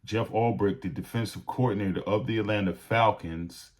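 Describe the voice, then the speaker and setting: A man hosting a show of casual sports commentary, talking straight to viewers in a deliberate, halting way. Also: lowish voice, fairly even intonation, a little mellow. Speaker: host.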